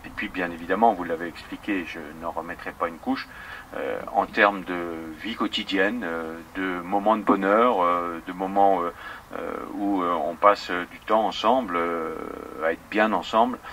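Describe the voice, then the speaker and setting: A man with a low voice gives official remarks without a script. His phrasing is hesitant and broken into short pieces. A faint noise sits in the background. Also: steady tone, normal speed, fairly bright tone.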